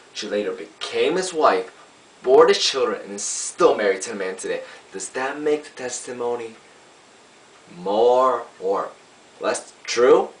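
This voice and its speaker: A man playing a young, earnest defense lawyer, pleading to a jury in an emphatic, oratorical monologue with deliberate pauses. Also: mid-range voice, lightly acted. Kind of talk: dramatic courtroom monologue. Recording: roomy, distant mic, faint hiss.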